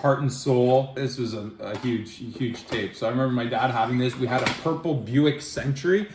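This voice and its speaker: A man in his forties from Canada, chatting about his younger years in a rambling way, often restarting his sentences. His voice sits at a middle pitch and carries the echo of a bare room. A faint hiss runs underneath it. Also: normal speed. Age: 40s. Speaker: co-host. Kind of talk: casual nostalgic chat. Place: family home or garage. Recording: echoey room with faint noise.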